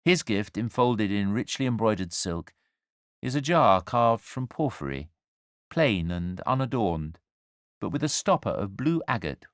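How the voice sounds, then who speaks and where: Low-voiced male storyteller, expressively varied. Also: sing-song, dry recording, studio quality. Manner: measured, wry, literate, deliberate phrasing. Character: angel narrating the nativity story, wry and urbane. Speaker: storyteller narrator. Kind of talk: scripted dramatic storytelling narration.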